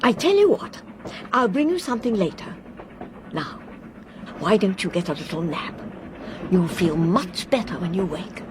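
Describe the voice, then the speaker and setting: Woman acting a kindly English governess, very animated intonation, fluttery, genteel, plucky, radio play, train rumbling behind. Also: moderate pace, long pauses, natural voice. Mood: brisk, kindly. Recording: clear train and vehicle noise behind. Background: train ambience.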